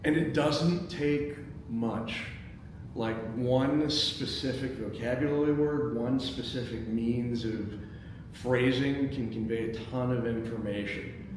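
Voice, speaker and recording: An American man from Chicago hosting a casual panel discussion, speaking haltingly and repeating words as he goes. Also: medium pitch. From Chicago, United States. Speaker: host, screenwriter. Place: echoey indoor room at a table read event. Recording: echoey room, distant mic, clear background noise.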